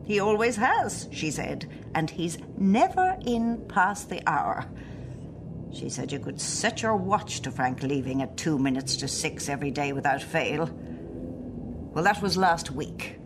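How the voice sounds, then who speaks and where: A woman's voice: deep voice, big pitch swings, unhurried, noisy background, heavily acted. An Irish woman acting as a nervous, hesitant middle-aged wife in a radio play. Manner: hesitant, polite.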